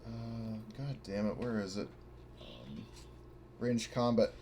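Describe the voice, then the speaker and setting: A man's voice: lowish voice, animated, slow pace, a little mellow. A man playing a tabletop game, talking casually and bluntly as he works out his moves. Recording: clear background noise.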